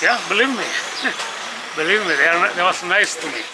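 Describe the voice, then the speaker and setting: Playful older Sicilian man, high voice. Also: normal speed. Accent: Sicilian Italian. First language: Italian. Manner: playful, storytelling, broken English. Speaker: local resident. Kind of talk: casual street chat. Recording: noisy street recording.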